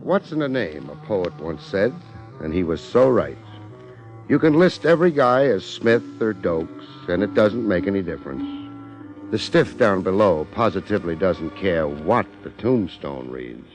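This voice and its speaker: Low-voiced, wry hard-boiled American private eye. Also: male voice, big pitch swings, measured pace, full character voice. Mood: wry, world-weary. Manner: terse, wisecracking, streetwise. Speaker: lead actor and narrator. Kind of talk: 1950s radio detective acting. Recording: muffled 1950s radio recording, faint music.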